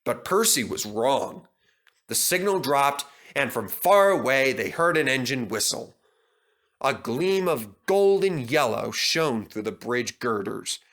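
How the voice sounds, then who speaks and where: Animated male children's storyteller. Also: medium pitch, slow. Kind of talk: scripted children's story narration.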